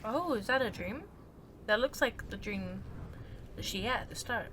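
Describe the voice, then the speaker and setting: A woman streamer chatting casually through a game. Her voice is slightly high, and there is clear background noise behind it. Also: animated, moderate pace. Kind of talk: casual gameplay commentary.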